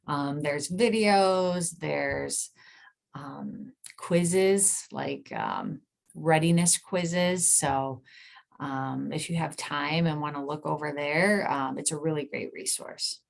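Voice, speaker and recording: A woman's voice: low voice, lively intonation, slow, vocal fry. A woman speaking conversationally as a nurse practitioner, giving an informal explanatory talk in plain words.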